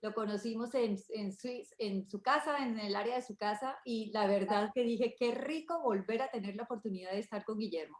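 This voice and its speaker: A woman's voice: fairly high pitch, normal speed, few pauses. A woman, a nutritionist, introducing herself eagerly on a video call. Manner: eager, enthusiastic. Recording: echoey room on a call.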